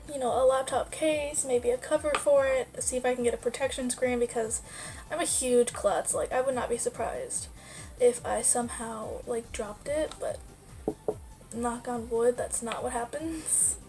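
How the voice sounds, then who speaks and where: Casting: young woman, high voice, nasal and stuffy from a cold, rambling casual vlog chat, faint music underneath. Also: a little slow, a little mellow. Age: young adult. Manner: rambling, lots of "like" fillers. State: stuffy-nosed from a sinus infection. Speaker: vlogger. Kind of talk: casual life-update chat.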